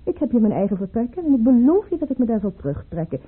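An actress playing a proud, coquettish, insistent baroness in an old-fashioned radio drama, arch and theatrical, with a husky, breathy voice. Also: female voice, fairly high pitch, very animated, quick pace. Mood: wheedling. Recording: muffled, phone-like old radio recording.

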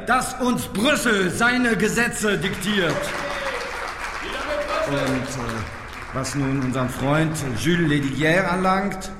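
An actor playing a fiery far-right French party secretary giving a declamatory rally speech in a radio drama. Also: male voice, sits high, fairly bright tone, exaggerated voice. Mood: measured. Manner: declamatory, rhetorical repetition. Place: large hall. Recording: echoing reverberant hall with faint noise.

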